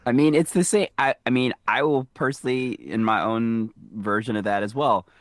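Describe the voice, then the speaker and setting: A man's voice: medium pitch, moderate pace, no echo, clean studio sound. A man from the Southern US co-hosting a podcast, chatting casually and laughing often. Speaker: podcast co-host. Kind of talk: casual movie recap chat.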